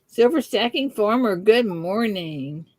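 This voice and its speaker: A woman's voice: mid-range voice, lively intonation, slow, no echo. A middle-aged woman with a Southern Texas accent, hosting a live stream and chatting with viewers in a giggly, easygoing way. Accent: Texan Southern. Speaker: host. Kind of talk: live-stream casual chat.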